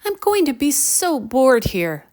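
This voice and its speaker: A woman voicing an expressive young city girl in a children's bedtime story. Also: sits high, a little slow, pitched above the performer's own voice. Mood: bored. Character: young city girl, sulky then full of wonder.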